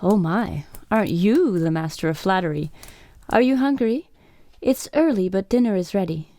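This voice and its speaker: A woman's voice: mid-range voice, lively intonation, moderate pace, dark tone, no echo, clean studio sound, natural voice. An actress playing a courteous, gracious Japanese innkeeper woman in a radio play, polite and attentive.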